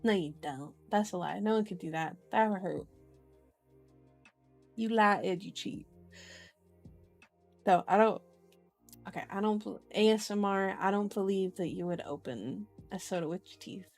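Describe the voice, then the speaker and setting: A woman's voice: mid-range voice, moderate pace, creaky, long pauses, darkish tone. Woman in casual ASMR reading, music behind. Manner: playful, informal asides, self-correcting while reading. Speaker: streamer, reader. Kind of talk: ASMR book reading with casual chat to viewers. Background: background music.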